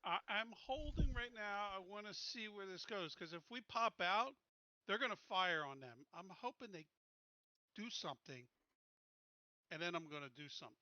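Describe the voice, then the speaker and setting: A tabletop game player talking casually and deliberately through tactics. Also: medium pitch, lively intonation, sharp tone, no room sound, lo-fi sound.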